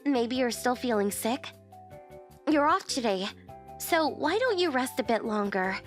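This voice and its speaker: A woman acting a high-voiced, poised young boss. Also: expressive, measured pace, soft background music. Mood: gentle, teasing. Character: poised young female company president, curt at work, softer privately. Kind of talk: anime-style manga dub acting.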